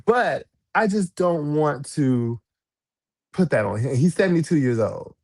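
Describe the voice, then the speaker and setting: A man's voice: a little high, animated, a little slow, darkish tone. A man in his forties, a guest and drag performer, telling stories in a casual podcast interview with many restarts. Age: 40s. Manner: storytelling, frequent restarts and repeated words. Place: podcast studio.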